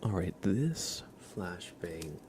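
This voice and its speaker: A man speaking gently and softly, at times whispering, as an ASMR livestreamer guiding a relaxing scene. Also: sits low, expressive. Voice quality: soft, partly whispered. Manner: gentle, unhurried, casual. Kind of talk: ASMR, soft relaxing talk and guided visualization. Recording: clear crinkling and ambient noise.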